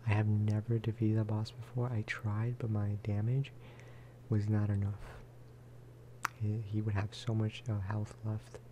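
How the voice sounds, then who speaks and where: A man speaking softly in a low-key, rambling ASMR style as he chats over gameplay. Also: little pitch movement, a little slow, noisy background. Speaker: gaming video creator. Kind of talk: soft-spoken ASMR gameplay chat.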